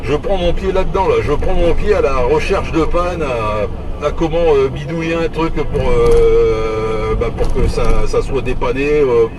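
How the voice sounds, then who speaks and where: A man's voice: medium pitch, unhurried, hardly any pauses. A man in his forties chatting casually over CB radio, talking in a hesitant, repetitive, colloquial way. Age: 40s. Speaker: heating repair technician. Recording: distant mic, clear vehicle noise.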